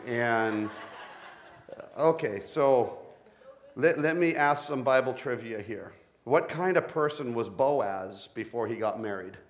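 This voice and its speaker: Middle-aged male pastor, deep-voiced, preaching with a playful joking manner. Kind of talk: sermon with corny jokes.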